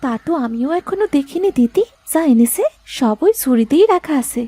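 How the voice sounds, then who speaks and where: A woman voicing a dutiful, chatty young daughter-in-law in a cartoon family drama, expressive and fondly chiding. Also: sits high, normal speed, no room sound.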